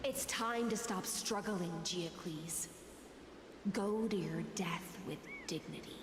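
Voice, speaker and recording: A woman's voice: on the low side, slow, background noise. A woman voicing a composed, solemn warrior in a video game, with formal, controlled delivery. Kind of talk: dramatic video game voice acting. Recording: game music behind the voice.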